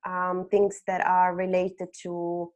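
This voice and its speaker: A woman's voice: lowish voice, monotone, takes it slowly. A woman in her thirties, originally from Bulgaria, presenting a webinar with slides and often adding "um" and "okay?". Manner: frequent "um" and "okay?" tags. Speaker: webinar host, HR consultant.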